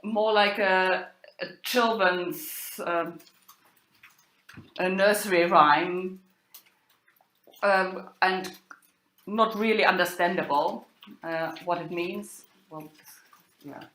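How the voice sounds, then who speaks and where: A woman giving an academic lecture in a halting way, pausing and hesitating often. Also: fairly low pitch, fairly even intonation, fairly bright tone. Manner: halting delivery with long hesitations. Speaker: lecturer, university professor. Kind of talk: spoken lecture on old drama texts. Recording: echoey room.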